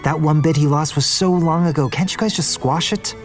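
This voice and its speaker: A man's voice: on the high side, fairly quick, soft background music, lightly acted. An actor playing an idealistic young male business heir in an audio drama, earnest and conversational. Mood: wry. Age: young adult.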